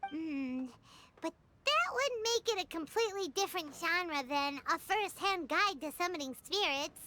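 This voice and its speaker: A woman voicing a small, excitable, cheeky fairy companion in a video game, with a squeaky childlike voice and bubbly exclamations. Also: moderate pace, background noise. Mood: eager, unsure. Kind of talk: video game character voice acting. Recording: background music throughout.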